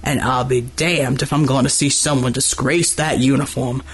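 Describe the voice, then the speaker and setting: A gruff, husky older foreman from the docks performed by a man, huge and proud. He talks in plain, folksy working-man's phrases with a defiant, hot-tempered edge, as a character in an audiobook. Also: steady tone, normal speed. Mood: furious. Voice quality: gruff, rumbling. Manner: folksy working-class American speech, defiant.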